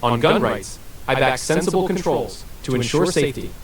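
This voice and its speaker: A synthetic male voice answering as an AI interview guest, smooth and evasive with scripted-sounding replies. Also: mid-range voice, lively intonation, moderate pace. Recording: roomy and distant with faint hiss.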